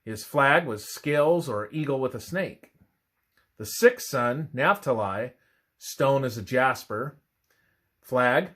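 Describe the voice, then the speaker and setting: A man reading a scripted religious narration aloud in a deliberate way, halting between short phrases. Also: mid-range voice, fairly bright tone. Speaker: reader of a religious presentation.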